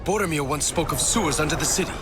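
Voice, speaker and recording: A man's voice: a little high, fast talker, close to an everyday voice. Casting: man to play determined fantasy game warrior, slightly raised voice, decisive, urgent, formal, over a music score. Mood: urgent resolve. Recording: voice under clear background music.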